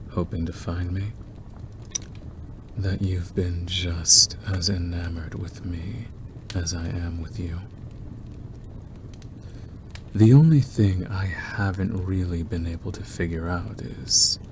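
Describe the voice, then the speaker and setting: A predatory male werewolf played by a man murmurs to the one he is obsessed with in an ASMR roleplay. His voice is very low and takes its time, teasing and softly menacing, with clear ambient noise behind it. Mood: teasing, intimate. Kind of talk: ASMR roleplay monologue to the listener. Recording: clearly noisy background ambience.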